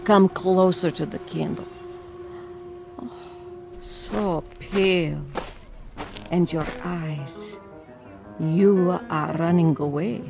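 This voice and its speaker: A commanding fortune teller woman speaks slowly and portentously, as in a mysterious radio play. Every phrase is cryptic and ominous, and music plays under her voice. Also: fairly low pitch, gravelly, heavily acted. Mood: commanding, eerie. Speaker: actress in audio drama. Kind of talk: dramatic audio drama acting.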